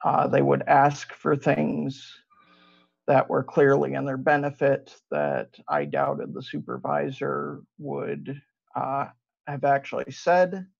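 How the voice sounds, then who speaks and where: A man's voice: medium pitch, lively intonation, takes it slowly, creaky. A man from Ohio with a Midwestern accent, giving a hesitant debate opening full of fillers and restarts. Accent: Midwestern American. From Ohio, USA. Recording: dull lo-fi mic.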